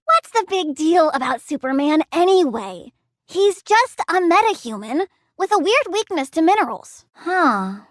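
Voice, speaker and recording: A young girl villainess from a video game, very high in pitch and animated. She is eager and morbid, with a petulant, sassy edge. The recording is close and dry. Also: no echo, exaggerated voice. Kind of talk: video game character voice acting.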